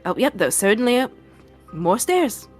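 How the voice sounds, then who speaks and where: A woman's voice: fairly high pitch, very animated, moderate pace. A woman playing an awkward, cautious male adventurer in a tabletop game, with a hesitant, stammering manner. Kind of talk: tabletop roleplay. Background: music.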